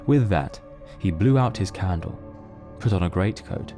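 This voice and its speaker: A man narrating a literary mystery as scripted audio drama, in a measured, solemn storytelling manner. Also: deep voice, lively intonation, dark tone, faint music behind.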